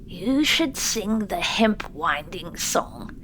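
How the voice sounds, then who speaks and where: Woman voicing a slow, quavery old grandmother. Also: mid-range voice, sing-song, slight background noise, rough recording. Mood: grave, reproving. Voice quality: quavery, hushed. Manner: slow, grave speech. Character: grave, gentle, disapproving old Japanese grandmother. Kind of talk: audiobook character voice.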